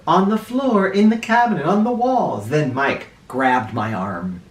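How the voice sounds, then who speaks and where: Slow, expressive man reading aloud, echoey room. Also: fairly high pitch. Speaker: children's read-aloud host. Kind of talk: animated storybook narration for children. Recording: echoey room, distant mic.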